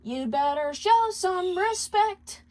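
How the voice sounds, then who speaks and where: A woman's voice: very high voice, expressive, takes it slowly, darkish tone, faint music behind, pitched above the performer's own voice, heavily acted. A woman voicing a foul-mouthed, scolding male demon boss in a fan fiction reading, demanding and theatrical, often singing. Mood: scolding in song. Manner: demanding, theatrical, breaks into song.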